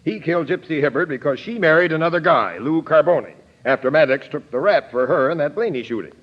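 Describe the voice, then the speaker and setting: An American man playing a brisk, confident police captain in an old-time radio crime drama. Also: a little high, natural voice. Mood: matter-of-fact. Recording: muffled old radio recording.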